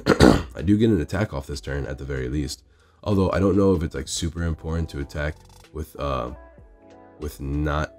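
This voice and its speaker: Hoarse man, very deep voice, quick self-correcting game commentary on a phone mic in a store. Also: darkish tone. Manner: rambling, self-correcting strategy talk. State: hoarse, losing his voice from illness. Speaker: card game player commentating his match. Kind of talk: casual play-by-play commentary.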